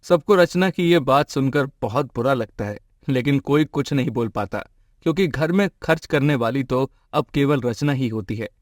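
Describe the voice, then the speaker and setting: A man's voice: mid-range voice, moderate pace, no echo. Male storyteller, dry studio sound. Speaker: narrator. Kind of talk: scripted storytelling narration.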